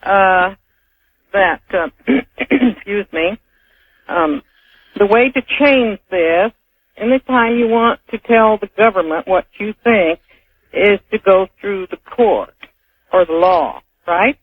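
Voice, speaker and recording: An American woman speaks as a radio caller, halting and argumentative. Her voice comes dry over a dull telephone line, as heard on AM radio. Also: mid-range voice, no echo. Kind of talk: unscripted opinionated phone talk.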